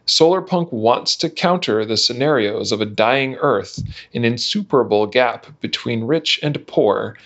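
A man reading a written text aloud in a measured, enunciated way for a podcast. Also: medium pitch, a bit bright. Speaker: reader of a manifesto. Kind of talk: scripted reading of a numbered manifesto. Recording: dull, lo-fi podcast audio.

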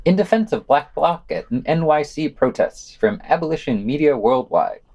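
Man reading podcast news, very lively intonation. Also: fairly high pitch, moderate pace. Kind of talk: scripted news reading with short asides.

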